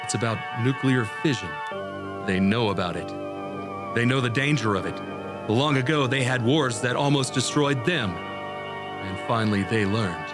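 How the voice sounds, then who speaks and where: An actor in a radio drama playing a desperate test pilot, urgent, pleading and halting as he warns of doom. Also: medium pitch, over music, close to an everyday voice. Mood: dazed, solemn.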